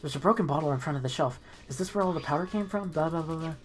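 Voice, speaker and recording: Low-voiced woman streaming a game. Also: very fast. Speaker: streamer reading a visual novel's protagonist aloud. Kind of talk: casual game playthrough, reading dialogue aloud.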